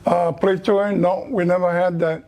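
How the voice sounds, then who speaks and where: A man with a Hawaiian Pidgin accent and a fairly high voice, Hawaiian his first language. He answers plainly and briefly, telling life stories in a relaxed, casual way. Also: normal speed. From Niihau, Hawaii. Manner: plain-spoken, short answers. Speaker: guest. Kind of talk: casual storytelling.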